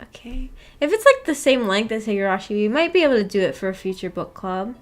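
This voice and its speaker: A woman's voice: mid-range voice, very animated, fast, soft background music. A woman hosting a book club livestream, chatting casually and reading out viewer comments in a giggly, rambling, self-deprecating way. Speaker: livestream host of a visual novel book club.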